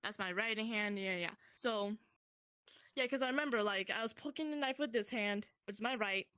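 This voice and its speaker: A young woman casually telling a personal story to a friend in a rambling, animated way, swearing freely. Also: medium pitch, normal speed, clear, resonant tone, dry recording.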